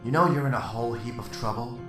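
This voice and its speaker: A man's voice: mid-range voice, quick pace, natural voice. A man playing a restrained, by-the-book police detective in a radio drama, speaking firmly and with control. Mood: grave. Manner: firm, controlled, reproachful. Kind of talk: amateur radio drama acting. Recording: roomy, slightly distant, music underneath.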